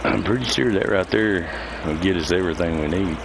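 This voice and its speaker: A man's voice: low voice, brisk. A man chatting casually over gameplay in a folksy, rambling way. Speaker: game streamer, host. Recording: loud vehicle engine noise behind voice. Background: idling truck engine, game vehicle sounds.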